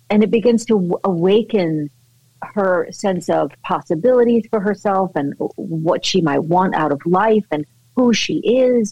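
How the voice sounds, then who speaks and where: A woman's voice: low voice, a little mellow, no room sound, dull audio. A woman actress chatting in an interview, effusive and full of fillers and restarts. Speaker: actress guest. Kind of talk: casual interview chat.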